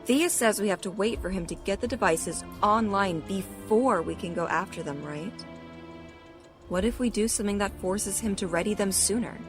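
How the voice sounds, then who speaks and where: A woman's voice: medium pitch, normal speed, lightly acted. A woman acting in an audio drama as a cool, shrewd, understated member of a vigilante team. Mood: scheming. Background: music.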